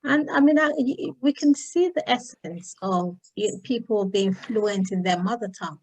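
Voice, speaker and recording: A Nigerian woman hosting a panel discussion, speaking thoughtfully with many fillers and pauses. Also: mid-range voice, a little mellow, poor audio. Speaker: host.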